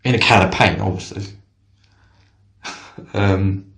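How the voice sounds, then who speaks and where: A man rambling in a halting, deadpan way. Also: fairly low pitch, vocal fry, reverberant. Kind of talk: rambling deadpan spoken monologue.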